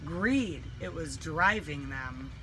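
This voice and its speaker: A woman reading a children's storybook aloud in an animated, dramatic way with deliberate emphasis. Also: low voice, background noise. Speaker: teacher reading a picture book.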